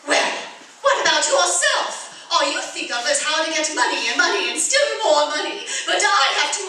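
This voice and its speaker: A haughty, discontented society wife from a radio play, acted by a woman. Her bright voice swings widely and petulantly as she complains. It is picked up from far off in a very echoey space. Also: high voice, very animated, moderate pace, exaggerated voice. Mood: indignant. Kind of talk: radio theatre acting. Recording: distant, very echoey stage recording.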